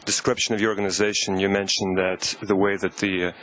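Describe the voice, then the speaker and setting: A man from the audience, slowly and tentatively asking a question to a panel. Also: sits low, level tone, a bit bright, rough recording. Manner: slow, careful, tentative. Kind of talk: question from the floor.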